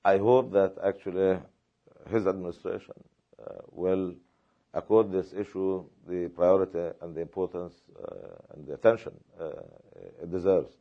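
A man from Palestine answering questions at a panel discussion, speaking in a measured, halting way with many fillers and repeated words. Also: sits low, fairly even intonation, muffled recording.

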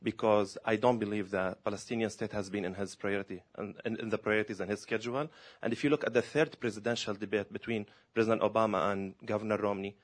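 Blunt questioner from Syria, lowish voice. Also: male voice, steady tone, fast, hardly any pauses. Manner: direct, pointed questioning with small grammar slips. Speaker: audience member asking a question. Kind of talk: question from the floor.

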